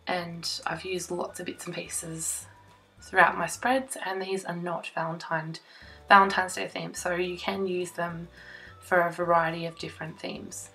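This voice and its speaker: A young woman talks through her scrapbook page in a chatty, self-conscious way, full of little ums. Her voice is croaky, still rough from laryngitis. Soft music plays faintly underneath. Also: fairly low pitch, level tone, unhurried, poor audio. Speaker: scrapbooking vlogger. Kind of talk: casual crafting voiceover. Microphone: voice-over recorded separately from the filmed table.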